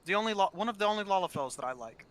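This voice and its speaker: A man hosting a gaming video with casual, blunt, sweary commentary and animated storytelling. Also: high-pitched, racing. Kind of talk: casual gameplay chat and commentary.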